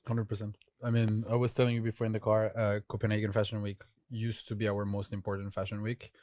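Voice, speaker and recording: Lively man. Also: on the low side, fast, no echo. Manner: lively, conversational. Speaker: creative director, panelist. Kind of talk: panel discussion.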